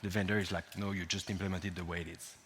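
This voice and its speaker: A man's voice: low-pitched, little pitch movement, quick pace, a bit bright, slight background noise. A man from France with a French accent, giving a technical conference talk with frequent ums and restarts. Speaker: conference speaker. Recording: long hall reverb.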